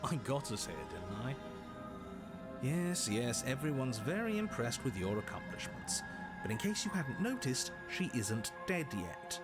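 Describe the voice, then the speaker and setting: Horror visual novel role: an exasperated, theatrical voice in the head, very animated intonation, over music. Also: male voice, mid-range voice, a little slow. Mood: scornful. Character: male narrator and squabbling voices in the hero's head, theatrical, exasperated. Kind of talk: dramatic visual novel voice acting.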